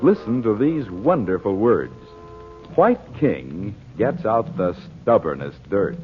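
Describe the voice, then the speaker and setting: A man announces and sells in classic old radio style, his voice lively and persuasive. The sound is thin and phone-like, with clear music behind him. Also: on the low side. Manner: smooth, persuasive sales delivery.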